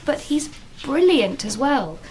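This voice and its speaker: An actress playing an earnest, worried English teacher in a naturalistic British radio drama. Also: female voice, high-pitched, sing-song, takes it slowly, a little mellow, a little hiss or hum, full character voice. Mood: earnest, lecturing.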